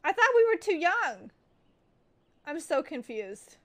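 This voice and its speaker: A woman's voice: sits high, big pitch swings, slow. A woman game streamer giving casual live commentary, reading aloud and reacting. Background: game music.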